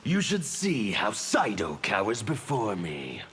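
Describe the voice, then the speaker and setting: Fanatical game villain, slow menacing delivery, wildly swooping pitch, theatrical, gravelly, reverberant. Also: male voice, wildly swooping pitch, over loud music, exaggerated voice. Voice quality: gravelly, forceful. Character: fanatical burned male anarchist revolutionary villain. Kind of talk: theatrical video game character acting. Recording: long reverb, faint background noise.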